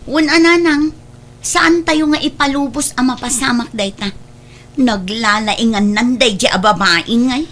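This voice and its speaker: A woman's voice: on the high side, unhurried, fairly bright tone. A woman with an Ilocano accent playing a scheming, spiteful adult sister in an audio drama, speaking with disdain.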